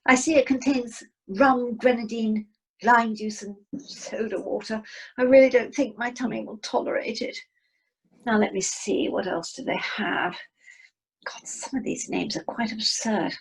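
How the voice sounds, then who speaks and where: A woman's voice: on the high side, measured pace, creaky, breathy edge, exaggerated voice, low-quality recording. A woman playing a snobbish, frugal English wife, genteel and disapproving, in an amateur radio comedy. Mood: disdainful.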